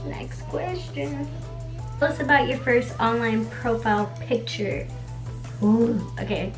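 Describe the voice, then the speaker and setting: A young woman in her twenties chats loosely at home, her voice sitting a little high. She is a native Spanish speaker who rambles through stories with endless "like"s and a casual swear here and there. Quiet music plays faintly underneath her talk. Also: takes it slowly. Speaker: beauty vlogger. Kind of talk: casual chat while doing makeup.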